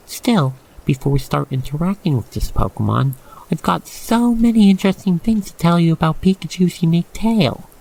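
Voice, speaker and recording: Male ASMR roleplay narrator. Medium pitch, very creaky texture, theatrical storytelling aimed straight at the listener. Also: poor audio.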